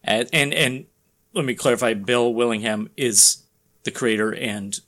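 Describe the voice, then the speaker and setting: A man's voice: medium pitch, a little slow, a bit bright. A man co-hosting a casual news podcast, explaining things conversationally and paraphrasing what people said as he goes.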